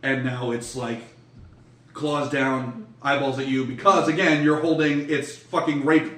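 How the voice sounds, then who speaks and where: A man's voice: medium pitch, fairly even intonation, unhurried, a bit bright. Male game master, informal and sweary, improvising scenes for players, recorded a little off-mic in a live room. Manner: profane, informal. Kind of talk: improvised tabletop game narration, casual chat. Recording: roomy echo, slightly distant mic.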